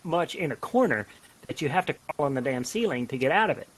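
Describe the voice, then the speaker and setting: A man talking casually as a writer on a podcast, rambling and self-deprecating in an animated way. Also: on the high side, quick pace, breathy edge, poor audio. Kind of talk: casual podcast chat about writing. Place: home office, on a remote call.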